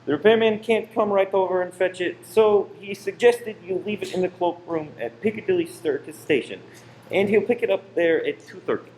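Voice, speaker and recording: A man's voice: high-pitched, normal speed, a little mellow, lightly acted. Guy acting a scheming husband in a radio drama, tense and pushy, roomy echo, bit of hiss. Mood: urgent coaxing. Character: tense, secretive married man running a cinema saboteur scheme. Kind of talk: amateur radio play acting. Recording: roomy echo with faint noise.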